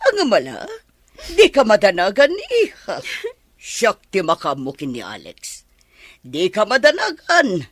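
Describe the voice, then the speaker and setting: A woman playing a domineering, scheming mother with a husky voice and loud, indignant delivery in a radio melodrama. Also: medium pitch, huge pitch swings, slow, pauses often, dry recording, exaggerated voice. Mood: indignant, reassuring. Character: domineering, scheming mother consoling her daughter. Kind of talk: melodramatic radio drama acting.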